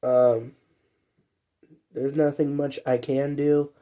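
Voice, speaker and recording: A man's voice: medium pitch, level tone, takes it slowly, mellow. A young man who is sick and coughs now and then, talking in a loose, rambling, slangy vlog monologue full of fillers. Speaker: vlogger.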